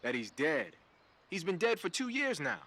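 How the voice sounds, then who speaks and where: A man's voice: a little high, very animated, normal speed, sharp tone, heavily acted. A man playing a talkative male radio support tech in a game cutscene, with casual, slangy banter. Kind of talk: video game cutscene acting.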